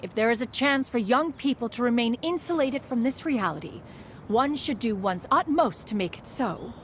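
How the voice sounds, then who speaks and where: A woman's voice: a little high, expressive, noisy background, lightly acted. A woman voicing a proud, formal female crane spirit in a game, speaking in a stately, archaic way and calling herself "one". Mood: solemn. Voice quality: stately, measured. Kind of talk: game voice acting. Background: game music.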